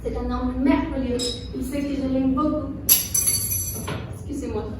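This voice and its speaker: A woman playing an affectionate, trusting young newlywed in an amateur drama, speaking halting, rehearsed lines. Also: high-pitched, fairly even intonation, over loud music. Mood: fond. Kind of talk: amateur staged dramatisation in French. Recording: echoey room, far mic, noisy.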